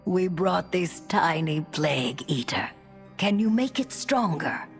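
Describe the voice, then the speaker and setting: Game rogue leader: cool undead operative, very low, slow, dry delivery, light music behind. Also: female voice, sing-song. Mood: wry. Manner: dry, matter-of-fact. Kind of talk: video game cutscene acting.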